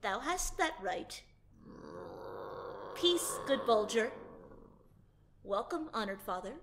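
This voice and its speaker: A woman's voice: a little high, expressive, slow, noisy background. A woman voicing a pompous, grandly self-assured boy scholar in an audio drama, theatrical and formal.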